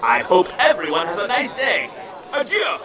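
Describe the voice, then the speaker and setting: A man's voice: high-pitched, wildly swooping pitch, moderate pace, crowd noise. A man playing a pompous, theatrical small-town mayor making a formal public speech in an amateur audio drama. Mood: self-satisfied. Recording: echoey, distant, with music behind.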